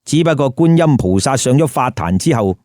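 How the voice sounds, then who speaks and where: A man's voice: mid-range voice, lively intonation, normal speed, warm tone, no echo, clean studio sound. A man telling a comic story in a theatrical, emphatic way with rhetorical questions. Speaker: storyteller, host. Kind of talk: lively comic Cantonese storytelling.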